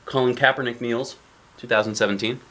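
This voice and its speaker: A man's voice: medium pitch, fairly even intonation, fairly quick, fairly bright tone, slight background noise. A man reading a picture book aloud as a dad would, expressively, with casual asides to children and weighty emphasis on key lines.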